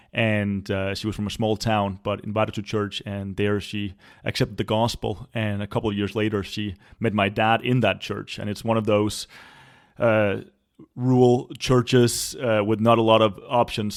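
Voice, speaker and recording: Earnest Danish-accented man, low voice. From Denmark. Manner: earnest, reflective storytelling with frequent restarts. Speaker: church planter and pastor. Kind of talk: personal testimony in an interview. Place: a home.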